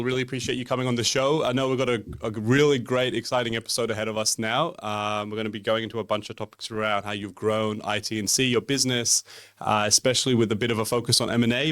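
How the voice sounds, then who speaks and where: A man's voice: mid-range voice, fairly bright tone, no room sound. A man hosting an interview podcast, asking questions in a conversational style.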